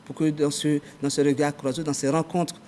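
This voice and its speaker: A man's voice: fairly high pitch, little pitch movement, a little fast, a little mellow, a little hiss or hum. A man, an adviser in a ministry, talking as a guest on a TV talk show in long, formal, official-sounding sentences.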